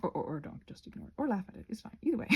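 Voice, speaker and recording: Husky, creaky woman rambling casually. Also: on the high side, very animated, brisk, dark tone. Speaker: craft vlogger. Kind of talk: casual rambling chat while painting.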